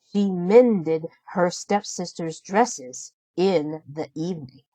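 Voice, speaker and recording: A woman reading a children's storybook aloud as narrator, in an expressive, deliberate storytelling manner. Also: on the low side, no echo, rough recording. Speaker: storybook reader.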